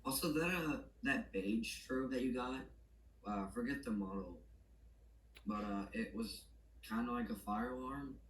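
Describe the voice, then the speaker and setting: A man chatting casually as a guest on a call, speaking in a tentative, halting way. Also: mid-range voice, a bit bright. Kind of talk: casual chat. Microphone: online call. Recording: roomy, noisy voice call.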